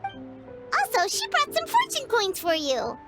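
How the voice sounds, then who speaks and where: A tiny floating fairy companion chirps in a squeaky, excited voice. Her pitch sits extremely high and swoops wildly up and down. Bright music plays clearly behind her. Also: slow, exaggerated voice. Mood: chirpy. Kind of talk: video game voice acting.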